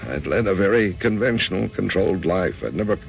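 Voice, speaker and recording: A baffled american husband in an old radio play, super low gravelly voice, halting, muffled sound. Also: male voice, expressive, soft background music. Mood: uneasy. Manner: hesitant, halting. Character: bewildered, conventional middle-aged husband, uneasy and earnest. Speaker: actor and first-person narrator. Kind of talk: old-time radio drama acting. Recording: dull, muffled radio drama recording.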